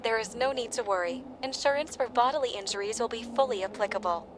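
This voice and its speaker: Woman acting a calm official game announcer lady, bit high, detached, clicky noisy background. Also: fast talker, lightly acted. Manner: formal, detached, reassuring. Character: calm, official female public announcer in dystopian city. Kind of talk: formal public announcement.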